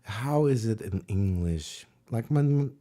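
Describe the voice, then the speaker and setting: A man whose first language is German, teaching piano on a livestream, relaxed and pausing to search for words. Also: fairly low pitch. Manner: relaxed, searching for words, sings and counts along. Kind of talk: livestream music lesson. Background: piano playing.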